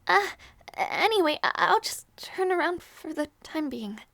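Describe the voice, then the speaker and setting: A woman playing a gentle, easily flustered young princess in an intimate audio roleplay, speaking hesitantly with small stammers. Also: extremely high pitch, very animated, measured pace, creaky, dry recording, heavily acted. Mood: flustered, awkward. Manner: hesitant, stammering, soft-hearted.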